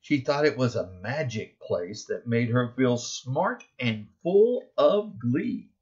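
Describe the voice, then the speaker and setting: A middle-aged man reading his own children's picture book aloud, expressively and deliberately, stressing key words in the rhymes. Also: mid-range voice, sing-song, a little mellow, lo-fi sound. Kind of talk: expressive children's storybook narration.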